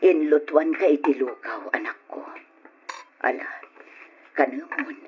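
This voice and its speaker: A woman's voice: low-pitched, lively intonation, a little slow, a little hiss or hum. A woman playing a hurt, devoted middle-aged mother in an old radio drama, earnest and pleading. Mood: tender, pleading. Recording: dull old radio recording with music.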